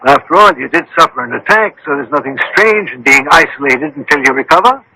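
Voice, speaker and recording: Radio drama, scientist-detective, higher-set voice, piercingly forceful, authoritative, formal, precise. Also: male voice, expressive, fairly quick, slight background noise, heavily acted, poor audio. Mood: commanding. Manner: authoritative, formal, giving crisp orders. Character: commanding, precise scientist-detective, a brilliant thinking machine. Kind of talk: 1970s-80s radio drama acting.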